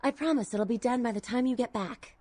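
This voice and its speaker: Devoted young servant girl for a vampire game female actor: high, timid, faltering delivery, anxious to please. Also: a little mellow, close to an everyday voice. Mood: obedient. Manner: hesitant, halting, eager to please. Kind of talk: video game voice acting.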